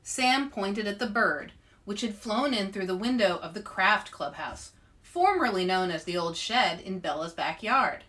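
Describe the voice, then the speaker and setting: A woman presenting a children's read-aloud in an animated way, speaking directly to young listeners as an author and host. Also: mid-range voice, unhurried.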